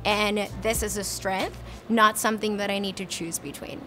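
A woman in her thirties from Puerto Rico, an engineer answering interview questions with clear, earnest explanations, recorded on an earbud mic in a lab storeroom. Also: mid-range voice, fairly even intonation, moderate pace, pushed and bright. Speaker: research and development engineer. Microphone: earbud headset mic. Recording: music bed underneath.

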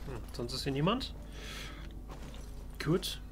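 A man's voice: mid-range voice, sing-song, takes it slowly. A man giving casual let's play commentary, talking in character to the game's figures. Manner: talks to game characters as if present. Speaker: let's player. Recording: voice over game music. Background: video game music and sounds.

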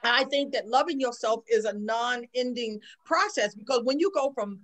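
A woman in her sixties with a high voice, talking over a video call from home. She speaks emphatically, like a sermon, and keeps checking in with "okay?". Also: normal speed, a bit bright. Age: 60s. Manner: preachy, emphatic, repeats "okay?". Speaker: guest. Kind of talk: casual chat on a video call. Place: home room.

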